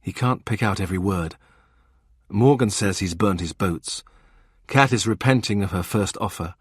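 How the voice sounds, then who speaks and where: Male audiobook narrator, lowish husky voice, dry close recording, calm even read. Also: moderate pace, dry recording. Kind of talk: scripted narration.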